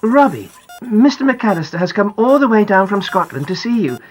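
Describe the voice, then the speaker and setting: A woman playing a gentle, reassuring mother in an audiobook. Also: mid-range voice, very animated, normal speed, close to an everyday voice. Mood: gently encouraging. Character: reassuring mother of an injured child. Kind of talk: audiobook character acting.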